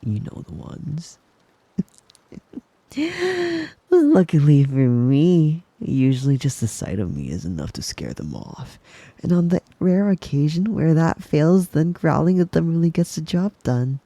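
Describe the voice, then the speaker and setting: A man playing a possessive, doting yandere wolf boy in an intimate ASMR roleplay, slow and soft, cooing praise and often dropping into a whisper at a close mic. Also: on the high side, big pitch swings, no room sound, heavily acted. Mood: smug devotion.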